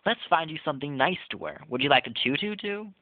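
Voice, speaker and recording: A man's voice: fairly high pitch, animated, fast, dry recording, voice pushed up, close to an everyday voice. A man reading the lines of a playful, fearless little girl in a Halloween storybook, chirpy and coaxing. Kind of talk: storybook read-aloud. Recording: tinny phone-like lo-fi audio.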